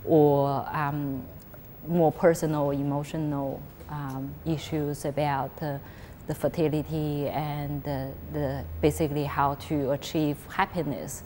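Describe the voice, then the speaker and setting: A woman with a very low voice talks slowly about her art, feeling her way through each sentence with restarts and fillers. The room gives a long reverb. A faint noise sits behind her. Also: level tone, darkish tone. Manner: halting, searching for words, many restarts. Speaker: artist. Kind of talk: explaining her artwork in an interview. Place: gallery space.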